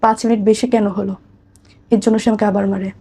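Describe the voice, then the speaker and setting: A woman's voice: mid-range voice, little pitch movement, fast talker, dark tone, breathy edge. A woman with a Bangladeshi accent giving personal testimony as an interview guest, repeating phrases and addressing the host respectfully. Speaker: guest telling her life story.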